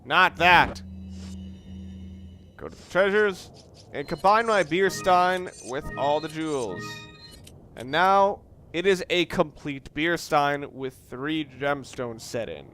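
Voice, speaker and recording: A man's voice: on the high side, takes it slowly, bright, forceful tone, faint music behind. A man giving casual, rambling let's play commentary, explaining and reflecting as he plays, with game sounds behind him. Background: video game sounds.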